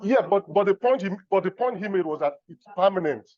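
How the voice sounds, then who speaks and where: A middle-aged man from Nigeria giving measured, reflective remarks as a discussant on an academic conference panel. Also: high-pitched, lively intonation, darkish tone, muffled recording. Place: conference room.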